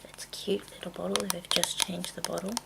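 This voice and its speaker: Female ASMR presenter, breathy whisper on the lower side, rambling and casual, over clearly noisy audio with clicks. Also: little pitch movement. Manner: hushed, rambling, unhurried. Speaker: ASMR video maker. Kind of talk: ASMR, casual product show-and-tell.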